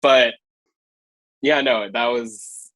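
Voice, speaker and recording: A man's voice: mid-range voice, steady tone, very slow, fairly bright tone, dry recording, poor audio. A man from Hawaii, a street photographer, chatting as a podcast guest, rambling with lots of fillers. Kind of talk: casual podcast chat.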